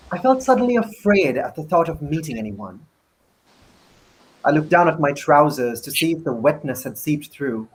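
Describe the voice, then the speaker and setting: A man, an actor, narrating a first-person novel in a measured, deliberate dramatic reading. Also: fairly high pitch, low-quality recording.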